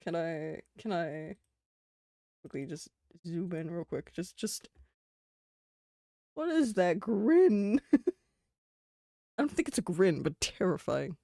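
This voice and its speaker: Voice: mid-range voice, animated, slow, gravelly, pauses often, mellow, no room sound. Kiwi streamer rambling n swearing, voice jumps all over, dry close sound. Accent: New Zealand. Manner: rambling and swearing, with frequent laughs. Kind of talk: casual live-stream chat.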